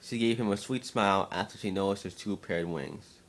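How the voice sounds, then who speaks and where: A man's voice: fairly low pitch, moderate pace. A man narrating a scripted story as an amateur dramatic reading, sometimes stumbling over and repeating words.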